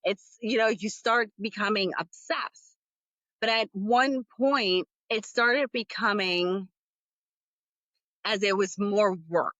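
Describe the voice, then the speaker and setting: A woman's voice: mid-range voice, slow pace, a bit bright, dry recording, highs cut off. A woman hosting her own podcast, talking casually and candidly, rambling with frequent fillers. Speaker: podcast host. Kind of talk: casual solo podcast talk.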